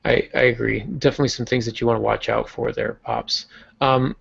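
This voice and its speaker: A man's voice: medium pitch, steady tone, brisk, gravelly, fairly bright tone, breathy edge. A man hosting a podcast, explaining fiction craft in a casual, teaching way with frequent fillers. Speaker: podcast host and novelist. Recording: dull lo-fi podcast audio.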